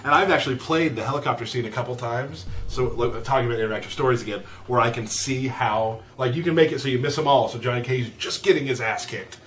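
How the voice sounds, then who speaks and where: A man hosting a casual live question-and-answer chat in an easygoing, joking, deadpan way. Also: medium pitch, fast, hardly any pauses, recorded from a distance. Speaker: livestream host from a game studio. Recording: faint background noise.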